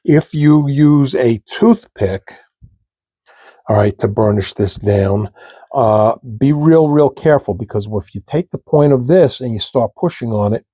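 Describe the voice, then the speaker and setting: A man with a froggy, allergy-rough throat, slowly talking viewers through a model-building step in a casual, halting way. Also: medium pitch, warm tone, no echo, studio quality. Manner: slow, halting, talks to viewers while working. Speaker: scale model hobbyist demonstrating. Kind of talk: casual hands-on tutorial.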